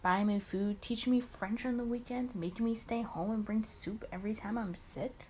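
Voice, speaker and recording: A woman reads as a wry, caring male detective telling his own story in a visual novel. The delivery is dry, at an average female pitch, over faint background noise. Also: steady tone, moderate pace, a little mellow, close to an everyday voice. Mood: fond.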